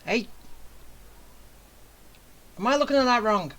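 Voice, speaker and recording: A woman's voice: on the high side, expressive, slow, fairly bright tone. A woman giving casual, stumbling reaction commentary over a video game. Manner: informal, stumbling. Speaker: gamer commentating over gameplay.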